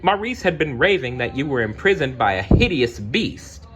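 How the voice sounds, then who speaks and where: A man's voice: mid-range voice, big pitch swings, moderate pace, a bit bright, pitched above the performer's own voice. Guy doing a villain's sidekick in a storybook, scornful and accusing, voice swings around.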